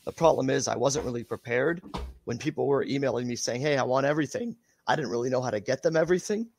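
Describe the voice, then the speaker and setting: A man speaking as a researcher guest in a casual interview, his voice raspy from being sick, hesitant with many fillers. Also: medium pitch, expressive, fast talker, darkish tone.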